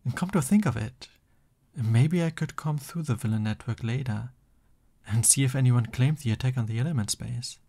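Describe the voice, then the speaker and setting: Man playing a breathy, lovestruck villain boyfriend. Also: medium pitch, close to an everyday voice. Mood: playful, affectionate. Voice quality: soft, breathy. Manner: slow, tender, bashful. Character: lovestruck, flustered supervillain boyfriend, soft and doting. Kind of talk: intimate ASMR boyfriend roleplay.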